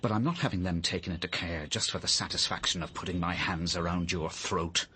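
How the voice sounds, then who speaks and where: A man's voice: low voice, fairly even intonation, fairly quick, pushed and bright, slightly rough, exaggerated voice. An actor playing a bitter, hot-tempered British father in a radio drama, with a sarcastic, menacing manner. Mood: menacing, seething.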